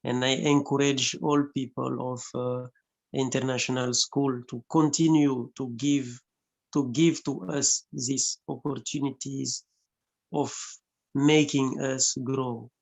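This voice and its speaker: Slow gravelly man, gentle and measured. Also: mid-range voice, level tone. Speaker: participant giving feedback.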